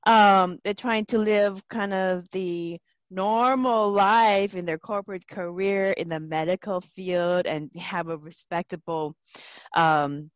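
A woman hosting an interview, asking reflective questions in a relaxed, casual way. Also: fairly low pitch, takes it slowly, no echo. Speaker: podcast host.

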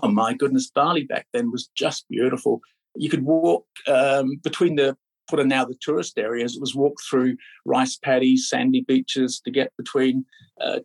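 A middle-aged man born in Scotland and raised in Australia, a podcast guest chatting in a relaxed, rambling way with many fillers. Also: mid-range voice, vocal fry, breathy edge, rough recording. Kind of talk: casual interview chat.

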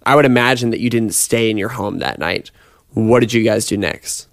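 A man's voice: lowish voice, normal speed, vocal fry, fairly bright tone, no echo, clean studio sound. A man hosting an interview, asking brief and curious follow-up questions.

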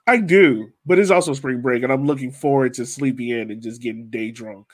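A man's voice: medium pitch, fairly quick. Regular mid-range guy hosting a soccer fan podcast, just chatting casually. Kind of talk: casual chat.

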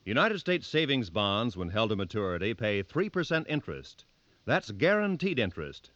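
Old time radio announcer guy, very lively delivery, lowish voice, dry muffled sound. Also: no echo. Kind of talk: scripted 1950s radio public-service announcement.

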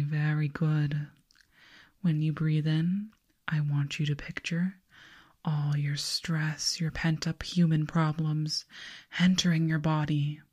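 A woman voicing a calm, commanding alien girl in a hypnosis ASMR roleplay, giving soothing, measured instructions in a breathy whisper. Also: sits low, exaggerated voice.

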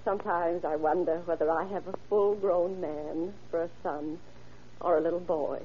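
A woman's voice: on the low side, steady tone, normal speed, dull audio, slight background noise, natural voice. An actress playing an anxious, trusting older mother in a fretful, earnest old radio drama style. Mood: worried. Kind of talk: vintage radio drama acting.

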